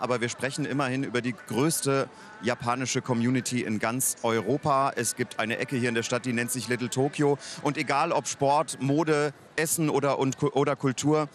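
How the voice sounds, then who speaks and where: Guy hosting live regional tv, middle pitch, half scripted. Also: normal speed, a little hiss or hum. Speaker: live TV presenter. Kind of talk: semi-scripted live presenting. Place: outdoor city festival.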